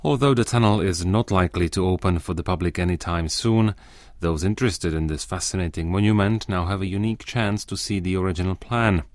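A man narrating a radio documentary feature. His voice sits very low and the sound is completely dry, with no room around it. He tells the story in a measured, even way. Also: no room sound. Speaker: radio reporter.

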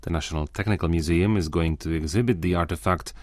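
Low-voiced man narrating a radio feature. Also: dry recording. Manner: measured, even storytelling. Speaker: radio reporter.

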